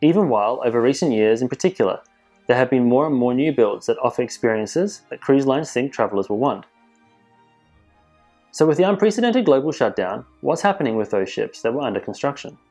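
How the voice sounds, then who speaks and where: A man presenting cruise industry news in measured, scripted voice-over narration. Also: mid-range voice, lively intonation, darkish tone, faint music behind. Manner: measured, informative delivery. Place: voice-over, not in pictured places.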